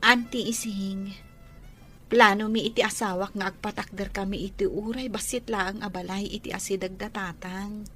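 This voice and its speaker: A woman's voice: mid-range voice, fairly even intonation, normal speed, a bit bright, faint music behind, close to an everyday voice. A woman playing a grateful, affectionate young wife in an Ilocano radio drama, with an Ilocano Filipino accent. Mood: grateful, hopeful. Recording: faint background noise.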